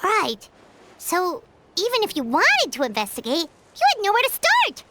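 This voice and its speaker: A woman voicing a small, childlike, excitable and cheeky fairy companion in a video game, with a squeaky voice. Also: moderate pace, background noise, full character voice. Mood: curious. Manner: excitable, refers to self in third person. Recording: game music behind the voice.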